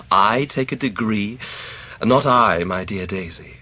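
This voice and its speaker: An actor playing a charming, careless young upper-class English gentleman student in a radio drama, breezy and languidly patronising. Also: on the low side, full character voice. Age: young adult. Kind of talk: 1990s full-cast radio drama acting.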